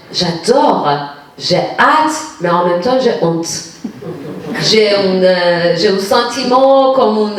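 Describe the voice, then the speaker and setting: A woman's voice: mid-range voice, distant mic, slight background noise. Persian-accented woman, hesitant, in an echoey hall. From Iran. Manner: searching for words, many hesitations. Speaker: guest poet. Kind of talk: personal storytelling at a reading. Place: municipal lecture hall.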